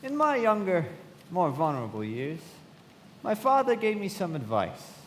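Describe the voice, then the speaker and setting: Casting: reflective young bond man narrating a radio play, lively higher voice, reverberant hall. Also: normal speed, slight background noise. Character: reflective well-bred young bond salesman narrating his memories. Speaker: lead actor and narrator. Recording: echoey hall, live stage.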